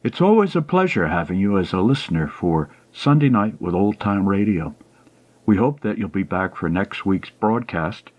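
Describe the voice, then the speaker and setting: A man signing off as the host of a radio show, in a smooth, friendly announcer voice. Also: on the low side, animated, moderate pace, highs cut off. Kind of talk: station sign-off announcement.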